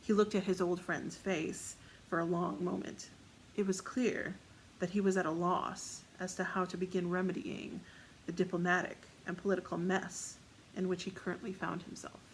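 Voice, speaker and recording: A woman's voice: lowish voice, level tone, moderate pace, slight background noise, low-quality recording. A woman reading scripted fiction as the narrator of a story.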